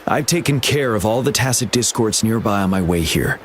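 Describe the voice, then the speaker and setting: Man acting as resolute game general, low voice, grave, formal, commanding, music behind. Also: lively intonation, normal speed, close to an everyday voice. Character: stern, resolute male military general. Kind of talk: scripted game dialogue. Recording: background music.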